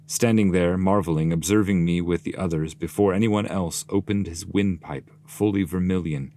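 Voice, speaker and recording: Male narrator, epic poem: measured, solemn, notably deep with vocal fry. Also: fairly even intonation, dark tone. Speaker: translator and narrator. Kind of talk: scripted literary narration of epic poetry.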